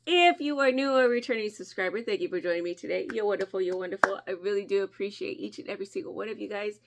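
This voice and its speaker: Brief: chatty woman vlogger, nasal with a cold, gravelly edge, mid pitch. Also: quick pace, a little hiss or hum. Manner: chatty, friendly, often laughing. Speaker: crafting channel host. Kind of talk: casual vlog chat.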